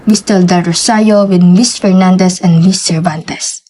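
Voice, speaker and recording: A woman's voice: lowish voice, fairly even intonation, measured pace, dark tone. A woman playing a terse, watchful covert lookout giving reports in a radio drama.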